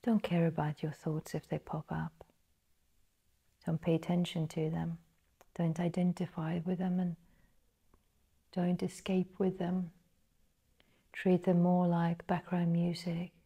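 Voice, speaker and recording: A woman guiding a meditation in a soft, breathy, partly whispered voice, measured and full of pauses. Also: fairly low pitch, monotone. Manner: slow, measured, pausing between phrases. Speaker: meditation guide. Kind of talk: guided meditation narration.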